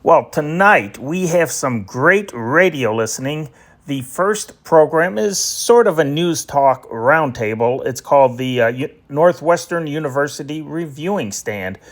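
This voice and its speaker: A man's voice: fairly high pitch, animated, measured pace. Middle-aged American man hosting, conversational. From United States, Chicago area. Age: 50s. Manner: conversational, explanatory. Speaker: host of a vintage radio podcast. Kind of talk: informal scripted introduction with chatty asides.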